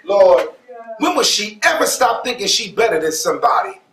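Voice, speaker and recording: Man stage actor, patient husband, high bright voice, expressive, echoey, faint noise. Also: normal speed. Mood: exasperated. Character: patient, devout husband judging a children's script contest. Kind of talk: stage play acting. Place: theatre stage.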